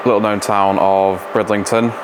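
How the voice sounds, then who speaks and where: A man's voice: on the low side, little pitch movement, moderate pace. A nineteen-year-old man who chats casually and hesitates now and then. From East Riding of Yorkshire. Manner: chatty, some hesitations. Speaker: student rally team member. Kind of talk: casual interview answers. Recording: noisy background hiss.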